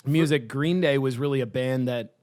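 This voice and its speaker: A man hosting a podcast in casual interview chat, animated and chatty, swearing freely. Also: medium pitch, normal speed. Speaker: podcast host.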